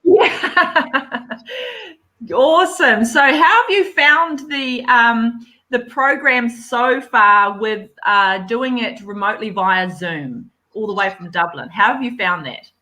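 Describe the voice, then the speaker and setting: A woman's voice: fairly high pitch, slow, pushed and bright. A woman hosting a live online chat over a video call, upbeat and encouraging. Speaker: host.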